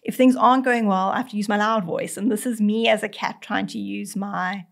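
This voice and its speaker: A woman's voice: mid-range voice, fairly even intonation, brisk, vocal fry. A female veterinarian with a South African accent explaining cat behaviour in a podcast interview, often speaking as the cat in first person and restarting her sentences. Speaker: veterinarian guest. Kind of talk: conversational expert explanation.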